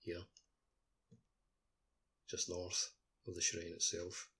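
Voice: low voice, monotone, takes it slowly, bright, forceful tone. A podcast co-host chatting informally and a little hesitantly about video games. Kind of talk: casual gaming podcast chat.

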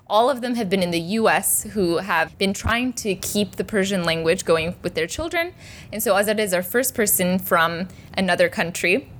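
A woman hosting an interview series, introducing her guest and asking questions in a friendly, animated way. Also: mid-range voice. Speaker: host. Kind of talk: casual interview conversation.

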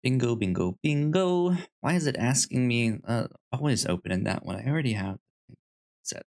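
A man's voice: mid-range voice, lively intonation, moderate pace, vocal fry, darkish tone. A man co-hosting a casual movie podcast, often hesitant and thinking aloud as he goes. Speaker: podcast co-host.

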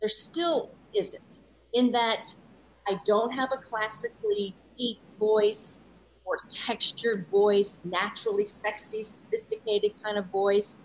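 A woman's voice: medium pitch, slow pace, slight background noise, poor audio. A woman voice-over coach speaking as an interview guest, candid and reflective, often adding "you know" as she talks. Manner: earnest, reflective, many "you know" asides.

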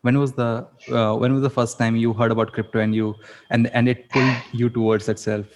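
A man's voice: medium pitch, level tone, a little fast, long reverb tail, a little hiss or hum. A man hosting a podcast, asking questions in a conversational interviewing style. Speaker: podcast host.

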